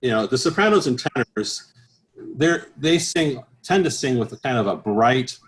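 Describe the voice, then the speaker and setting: A man with a mid-pitched voice talks as an interview guest. He explains his craft conversationally, in a halting way that often circles back over a phrase. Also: expressive, rough recording. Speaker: interview guest, professional bass singer and choir director.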